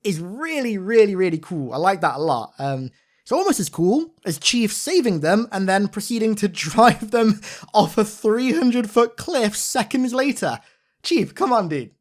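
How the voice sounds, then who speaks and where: A man giving an informal, enthusiastic gameplay breakdown as a gaming commentator, talking like an excited fan. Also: sits high, measured pace.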